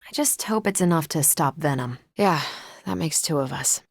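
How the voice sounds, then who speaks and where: Battle-hardened female superhero, lowish pitch, dry close recording, wry, self-assured, casual, video game dialogue. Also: expressive, normal speed, breathy edge, dry recording, full character voice. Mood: serious.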